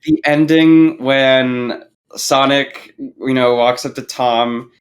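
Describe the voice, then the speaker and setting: A man's voice: medium pitch, steady tone, slow. A man talking casually as a podcast panelist, informal and rambling, circling back over his words. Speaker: podcast panelist discussing films. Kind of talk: casual chat.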